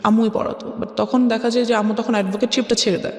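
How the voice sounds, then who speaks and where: A woman's voice: a little high, flat delivery, rapid-fire. A woman with a Bangladeshi accent telling her own life story in an interview, mixing English phrases into her speech. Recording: echoey reverberant sound with music behind. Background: music.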